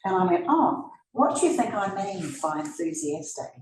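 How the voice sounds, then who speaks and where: A woman's voice: medium pitch, sing-song, moderate pace, slight background noise. A woman with a New Zealand accent giving a conversational talk to a live audience, turning to the listeners with asides. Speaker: presenter. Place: event room. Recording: roomy, from a distance.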